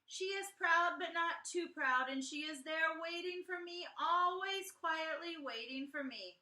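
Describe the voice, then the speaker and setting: A woman voicing an earnest, self-doubting young schoolboy in an expressive storybook read-aloud, with emphatic, deliberate phrasing. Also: very high voice, pitched above the performer's own voice, heavily acted. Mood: hesitant, tender. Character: earnest young schoolboy writing journal poems, defensive, doubtful. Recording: echoey room, distant mic.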